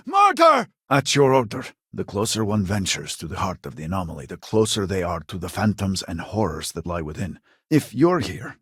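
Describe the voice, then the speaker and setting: Casting: devout, weary scientist for sci-fi game; lowish husky voice, formal and earnest delivery. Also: male voice, fairly bright tone, no echo, studio quality, exaggerated voice. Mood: alarmed. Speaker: video game voice actor. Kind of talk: scripted video game character dialogue.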